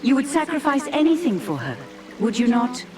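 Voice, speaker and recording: A woman voicing an aloof, enigmatic dragon of dreams in a fantasy game cutscene, measured and solemn, with music behind. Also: high voice, sing-song, a little mellow. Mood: cool, cautioning.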